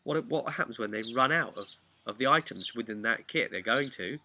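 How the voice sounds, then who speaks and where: A man giving an informal, animated, chatty explanation of tabletop roleplaying rules. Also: medium pitch, moderate pace, noisy background. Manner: animated, chatty, acts out imagined player remarks. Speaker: tabletop game master giving advice.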